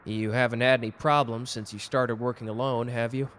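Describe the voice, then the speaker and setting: A man's voice: medium pitch, flat delivery, a little fast, a bit bright, lightly acted. A man playing a cocky, gallant and protective customer who flirts teasingly in a romantic roleplay audio drama. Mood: teasing, friendly. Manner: suave, teasing, intimate one-sided conversation.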